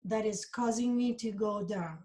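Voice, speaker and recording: A woman's voice: mid-range voice, moderate pace, dark tone. A middle-aged woman from Costa Rica, a guest in a casual interview, talking in an earnest, reflective, upbeat way about her life and beliefs.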